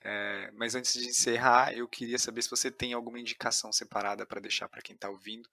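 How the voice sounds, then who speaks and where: A man's voice: mid-range voice, flat delivery, quick pace, bright, forceful tone, no room sound. Brazilian man hosting, close dry sound. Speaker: podcast host, interviewer. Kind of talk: casual conversational interview.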